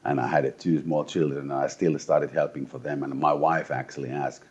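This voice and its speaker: A man's voice: a bass voice, flat delivery, fairly quick, a little mellow, breathy edge, muffled recording. A Finnish man in his forties from eastern Finland, a tired interview guest who talks bluntly, swears, and restarts his sentences often. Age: 40s. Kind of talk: casual interview talk.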